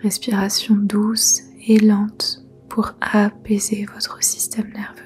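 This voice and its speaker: Woman, meditation guide, even mellow tone, breathy near-whisper, gentle, faint music behind. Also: medium pitch. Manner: soothing, gentle, unhurried instruction. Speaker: yoga nidra guide.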